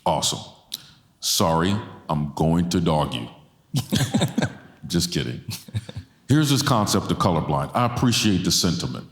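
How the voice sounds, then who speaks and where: A man's voice: sits low, big pitch swings, a little slow, long pauses. A man in his forties speaking with passion to a church audience on stage, holding a handheld mic and repeating lines for stress. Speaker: guest speaker. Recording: roomy church hall sound.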